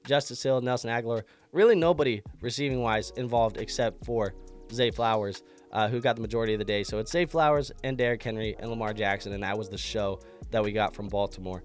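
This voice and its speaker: A man's voice: fairly low pitch, fast talker, faint music behind. Congested man giving a casual sports recap. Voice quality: frequent fillers and restarts, chatty. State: congested from illness. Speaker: fantasy football show host.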